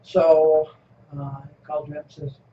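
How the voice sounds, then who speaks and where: A man's voice: fairly high pitch, flat delivery. Older man rambling in an echoey room. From California. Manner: rambling, halting, chuckles often. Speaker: interviewee. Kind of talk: casual reminiscing. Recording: echoey room, muffled lo-fi, noisy.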